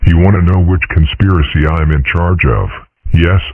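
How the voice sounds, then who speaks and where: A man voicing a comic strip character in a measured, knowing tone, with a husky voice. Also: low-pitched, no room sound, narrow, tinny audio. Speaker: comic dub voice actor.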